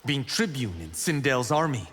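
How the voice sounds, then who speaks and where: A man's voice: medium pitch, big pitch swings, normal speed, fairly bright tone, slightly rough. A man playing a proud, eager young martial arts monk in a video game, boastful and self-assured. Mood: curious, casual. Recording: long hall reverb.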